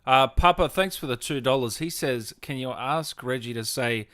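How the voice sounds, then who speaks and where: A man's voice: medium pitch, moderate pace, a bit bright. A man interviewing a guest as a livestream host, chatty and agreeable.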